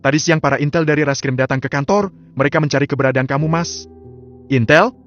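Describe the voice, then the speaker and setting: A fed-up, scornful wife, as a male storyteller puts her on, her voice pitched up and cutting. She fires sharp, accusing questions. The sound is a little muffled, with soft music underneath. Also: steady tone, quick pace, lightly acted.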